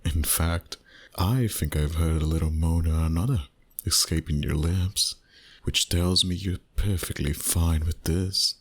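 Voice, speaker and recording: A flirty, commanding male vampire played by a man for an intimate ASMR roleplay. His voice sits very low and comes out husky and breathy. He takes his time, teasing softly and tenderly with slow, seductive phrasing. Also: exaggerated voice. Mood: soothing, seductive. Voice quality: breathy, husky, often near a whisper.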